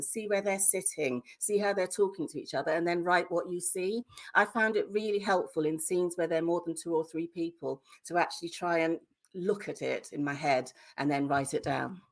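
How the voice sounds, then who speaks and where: A British woman speaking candidly and emphatically as a guest in a conversational interview, sharing advice. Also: lowish voice. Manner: candid, emphatic, mildly sweary. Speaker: debut novelist, podcast guest. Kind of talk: conversational interview answers, giving writing advice.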